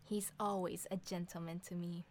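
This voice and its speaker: A woman's voice: on the low side, level tone, moderate pace, a little mellow, natural voice. A woman playing a sarcastic young mother who needles her partner with dry mockery in an audio drama. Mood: feigned boredom. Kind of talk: two-hander audio drama reading.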